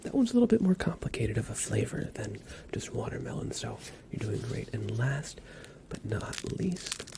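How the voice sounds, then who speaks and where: A man whispering softly and soothingly as a reassuring doctor in an ASMR exam roleplay. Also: medium pitch, sing-song, normal speed, noisy background. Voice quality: whispered, breathy soft voice. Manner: gentle, soothing, reassuring instructions. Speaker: ASMR performer playing a doctor.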